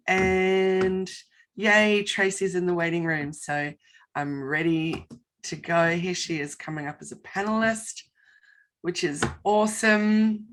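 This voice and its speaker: A bubbly, enthusiastic woman, hosting a relaxed online chat. Her voice is bright and a touch croaky this morning. She talks over a video call. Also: on the low side, a little hiss or hum. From Australia. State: slightly croaky voice. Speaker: host. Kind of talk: casual live interview.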